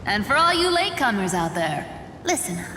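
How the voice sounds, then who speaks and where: A woman's voice: mid-range voice, sing-song, fairly quick, slight background noise. A woman voicing a sly, teasing young female cat-like thief in a video game, playful and wry. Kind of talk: dramatic video game voice acting. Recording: dramatic music behind the voice. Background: loud music.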